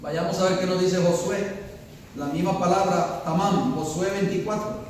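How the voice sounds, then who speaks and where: A man's voice: high-pitched, steady tone, normal speed, a little mellow, a little hiss or hum. A man preaching a sermon as pastor, building to emphatic peaks and asking the congregation questions. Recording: echoey hall, voice from far off.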